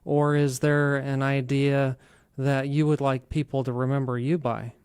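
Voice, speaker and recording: Smooth-voiced man hosting talk radio. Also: mid-range voice, flat delivery, measured pace, a little mellow, no room sound. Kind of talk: conversational interviewing and storytelling.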